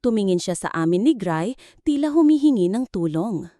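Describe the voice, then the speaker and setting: A woman's voice: mid-range voice, very animated, normal speed, warm tone, no echo, studio quality. A woman narrating a scripted dramatic story in the first person, with an earnest, sentimental delivery.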